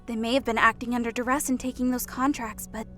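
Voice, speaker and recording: A woman's voice: fairly high pitch, steady tone, fast, fairly bright tone, soft background music, lightly acted. A woman voicing a stern, sceptical female soldier in an audiobook, firm in manner.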